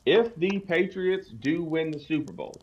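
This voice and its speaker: A man's voice: a little high, expressive, measured pace, lo-fi sound. A man co-hosting a podcast, chatting casually about sports in a colloquial, opinionated way. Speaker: podcast co-host.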